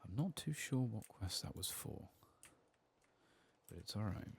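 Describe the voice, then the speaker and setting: Hushed low-voiced man with a creaky edge. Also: expressive. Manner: hushed, gentle, unhurried, often slipping into whispers. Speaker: gaming ASMR streamer. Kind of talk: soft-spoken, whispery ASMR game commentary.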